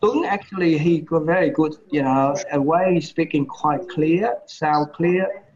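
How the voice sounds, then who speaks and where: A middle-aged man with a Vietnamese accent, Vietnamese his first language, giving feedback in an online medical class, halting with many restarts and "you know" fillers. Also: fairly high pitch, a little mellow. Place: home room on a video call. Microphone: laptop or webcam mic on a video call. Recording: dull lo-fi video call audio.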